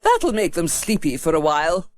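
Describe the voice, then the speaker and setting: A woman voicing a brisk, confident, no-nonsense country beekeeper in a children's storybook. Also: low-pitched, wildly swooping pitch, a little mellow, no room sound. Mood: brisk, unworried.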